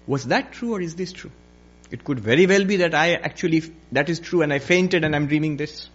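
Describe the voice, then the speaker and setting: A man's voice: on the high side, a little fast, fairly bright tone, highs cut off. Man voicing a bewildered, distraught philosopher emperor. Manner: repetitive, bewildered questioning. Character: distraught philosopher emperor, obsessively questioning what is real.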